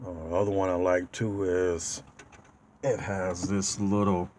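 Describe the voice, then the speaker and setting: Low-voiced, slow-talking man. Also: level tone, a little mellow, a little hiss or hum. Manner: halting, pauses mid-sentence to find words. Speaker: DIY car video host. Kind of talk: casual how-to walkthrough. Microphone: handheld tablet.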